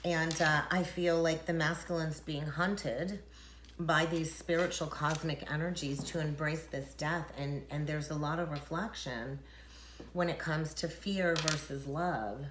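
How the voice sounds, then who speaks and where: A woman who reads tarot, talking casually in a rambling way, with frequent chuckles and fillers. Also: sits low. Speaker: tarot reader. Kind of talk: casual spiritual talk to camera. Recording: echoey room, faint noise.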